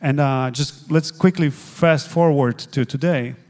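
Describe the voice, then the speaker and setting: Polish-accented man, 40s, higher voice, earnest, halting storyteller with fillers, handheld stage mic. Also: darkish tone. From Poland. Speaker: guest pastor. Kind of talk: telling his faith story on stage. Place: church stage, seated in armchair.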